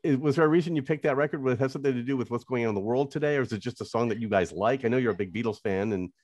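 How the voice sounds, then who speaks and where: Fast-talking radio host man. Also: mid-range voice, darkish tone, no room sound. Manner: quick, eager fan questions. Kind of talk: radio interview over video call. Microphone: studio mic on video call.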